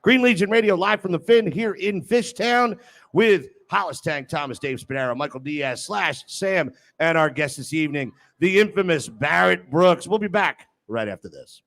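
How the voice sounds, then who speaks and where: Higher-pitched man hosting live sports talk. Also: lively intonation, moderate pace, vocal fry, fairly bright tone. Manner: jokey, teasing. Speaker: sports radio host. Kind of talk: live sports talk banter and sponsor reads. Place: live broadcast from a venue.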